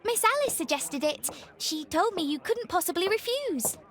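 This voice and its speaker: A woman's voice: very high voice, big pitch swings, moderate pace, a bit bright, exaggerated voice. A woman playing an eager, insistent, polite young daughter in a video game. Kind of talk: dramatic video game dialogue.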